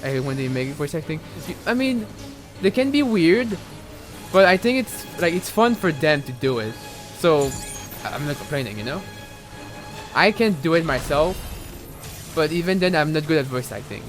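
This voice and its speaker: A young man with a French accent chats loosely while he plays, drifting between thoughts and peppering his talk with fillers and false starts. His voice sits a little high for a man, and soft game music plays faintly behind him. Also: sing-song, moderate pace, long pauses. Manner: rambling, chatty, many fillers and restarts. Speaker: game streamer. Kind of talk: casual live gaming commentary.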